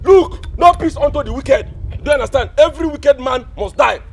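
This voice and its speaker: A male actor playing a callous, scheming village elder with a Nigerian accent, scolding and repeating himself in loud, theatrical film style. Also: very high voice, animated, normal speed, vocal fry, heavily acted. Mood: defiant, callous. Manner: blustering, repetitive, scolding.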